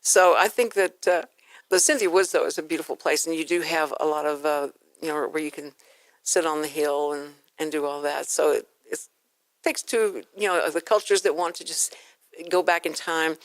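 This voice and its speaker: A woman's voice: deep voice, steady tone, normal speed, no room sound. A woman with an American accent hosting a chatty radio interview, rambling informally and acting out quotes as she tells stories. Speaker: radio show host.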